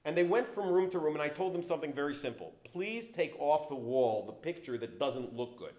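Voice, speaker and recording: A man with a low voice giving a guest talk at an event, telling business stories with big rises in pitch and volume on key words, sometimes stepping off the mic. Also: brisk. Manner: animated, jumps in pitch and loudness on key words. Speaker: guest speaker. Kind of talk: storytelling talk to an audience. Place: event hall with an audience. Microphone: handheld or stand mic, sometimes stepping away from it. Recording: roomy hall echo.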